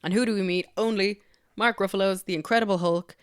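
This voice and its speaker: A woman's voice: mid-range voice, fairly even intonation, smooth, no echo, studio quality. A woman co-hosting a podcast, recapping a movie with enthusiastic, gushing chat. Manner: enthusiastic, gushing, quick to laugh. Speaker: podcast co-host.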